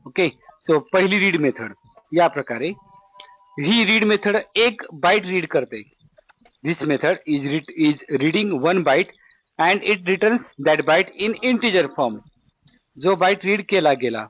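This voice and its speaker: A man's voice: sits high, fairly bright tone, poor audio. A man with a Marathi accent giving a step-by-step lecture as an instructor, didactic, repeating points and asking rhetorical questions. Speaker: programming instructor.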